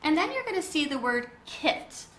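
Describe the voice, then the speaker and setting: A woman reading a children's story aloud in an expressive, emphatic, teacherly style. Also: sits high, moderate pace. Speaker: children's read-aloud reader. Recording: roomy echo with background noise.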